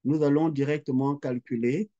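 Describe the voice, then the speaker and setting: A man's voice: mid-range voice, fairly even intonation, dark tone, highs cut off. A middle-aged man, giving a recorded lecture and working through the calculations step by step, repeating each figure aloud. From Quebec. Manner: slow, methodical, repeats figures aloud as he writes.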